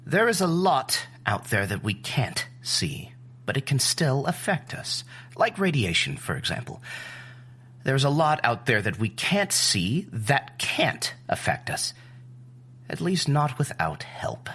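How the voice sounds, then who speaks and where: An actor playing an earnest, cerebral scientist in an audio drama, explaining deliberately and searching for simple words. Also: male voice, medium pitch, wildly swooping pitch, fairly bright tone, slightly rough, full character voice. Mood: didactic. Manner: deliberate, groping for simple analogies. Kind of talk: naturalistic audio drama acting.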